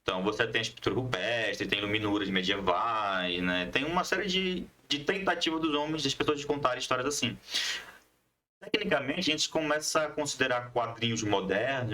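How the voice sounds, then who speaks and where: A man's voice: medium pitch, bright, forceful tone. A man speaking as an interview guest, explaining things in a didactic, conversational way with frequent tag questions. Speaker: guest, history teacher and comics scriptwriter.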